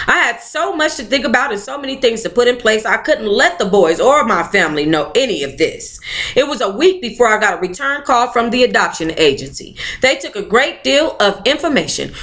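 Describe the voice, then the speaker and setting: A woman hosting a book club, reading a memoir aloud in an animated, playful way with casual, sassy asides. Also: a little high. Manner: animated, playful, colloquial commentary.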